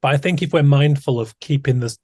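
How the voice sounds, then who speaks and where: A man with a slightly husky voice, recorded dry and close. He hosts an interview podcast and muses thoughtfully, often restarting his phrases as he works through an idea. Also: medium pitch, brisk, darkish tone, no echo. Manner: thoughtful, musing, frequent restarts. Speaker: podcast host. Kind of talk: conversational interview.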